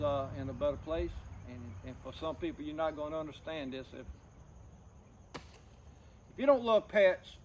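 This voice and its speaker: A middle-aged man talking personally to camera, subdued and grieving, in a halting, plain-spoken, folksy way. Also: a little high, darkish tone, a little hiss or hum, poor audio. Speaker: outdoors vlogger.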